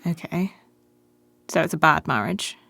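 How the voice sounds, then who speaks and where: Woman acting as blunt sweary woman in a radio play, sardonic and tired of it all, slightly low voice, really dry sound. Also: animated, unhurried, gravelly, bright, forceful tone, dry recording, exaggerated voice. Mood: sceptical. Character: blunt, brash, foul-mouthed woman, prickly and weary. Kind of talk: naturalistic radio drama acting.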